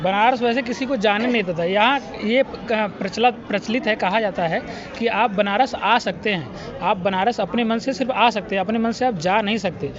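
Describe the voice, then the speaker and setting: A young man from Varanasi, a graduate, speaking slowly like he is telling a story, outdoors. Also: high-pitched. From Varanasi, India. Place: outdoors at a university convocation. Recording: muffled outdoor recording with crowd noise.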